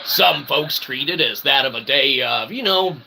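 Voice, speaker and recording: A man's voice: mid-range voice, animated, measured pace. A man hosting a comedy show with a theatrical showman's delivery, rambling in wordy, mock-formal phrases and repeated catchphrases. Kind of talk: rambling comic monologue to an audience. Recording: clearly noisy recording.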